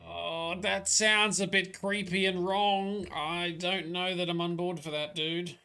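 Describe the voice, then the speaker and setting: Bright-voiced man streaming a game. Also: sits high, little pitch movement, slow pace, clear, resonant tone. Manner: rambling, chatty, talks to chat by name. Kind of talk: live gameplay commentary. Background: faint game music.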